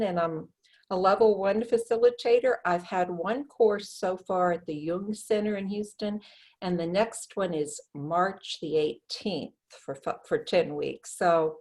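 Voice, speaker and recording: A woman's voice: medium pitch, a little slow, poor audio. A woman, a facilitator, casually introducing herself on a group call.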